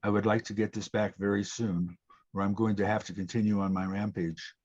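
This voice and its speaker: Menacing drama villain, played by a man: husky, measured and cold, on slightly muffled audio. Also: lowish voice, flat delivery, darkish tone, lightly acted. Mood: cold menace. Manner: measured, threatening. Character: menacing male villain giving a cold ultimatum. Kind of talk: scripted table-read acting. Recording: dull lo-fi audio.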